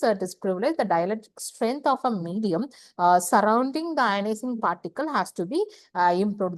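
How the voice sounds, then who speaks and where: A woman gives a slide lecture, halting and repeating phrases, often asking questions and answering them herself. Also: medium pitch, dry recording. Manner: halting, repetitive, asks and answers own questions. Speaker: engineering lecturer. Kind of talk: slide lecture explaining technical material.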